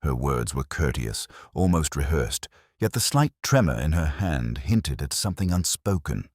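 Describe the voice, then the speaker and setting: Guy acting as a brooding male detective narrating his case, super low voice, formal and measured, pitch moves around a lot. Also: big pitch swings, a little mellow, dry recording, studio quality, exaggerated voice. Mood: watchful, measured. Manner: measured, deliberate, formal literary phrasing. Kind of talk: scripted first-person mystery narration.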